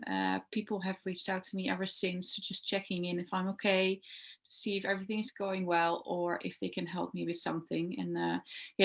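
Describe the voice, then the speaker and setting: A woman's voice: medium pitch, gravelly, a bit bright. A young woman whose first language is Dutch talks through her job in an interview. Her voice sits in a middle range, and she hesitates often, filling gaps with "uh" and repeating words before finishing her thought. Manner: hesitant, many "uh" fillers and restarts. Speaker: translation rights executive. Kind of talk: interview answers.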